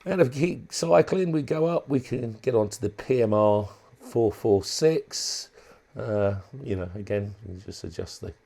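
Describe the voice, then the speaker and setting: A man giving a casual, unscripted walkthrough as a hobbyist presenter, thinking aloud in a halting way. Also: medium pitch, creaky, mellow. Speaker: hobbyist presenter demonstrating a modified radio.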